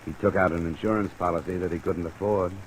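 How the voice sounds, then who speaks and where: A man's voice: low voice, fairly even intonation, quick pace, lightly acted, rough recording. A man playing a tough, cynical insurance investigator in an old-time radio drama, blunt and pressing. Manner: blunt, hard-boiled, pressing. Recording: old radio recording with faint hiss.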